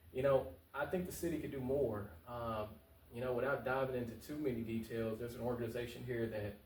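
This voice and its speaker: American male candidate, earnest and conversational, rapid delivery, slightly distant mic with faint noise. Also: medium pitch, darkish tone, reverberant. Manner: earnest, informal, repeats phrases for emphasis. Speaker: political candidate, talk show guest. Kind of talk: conversational interview answers.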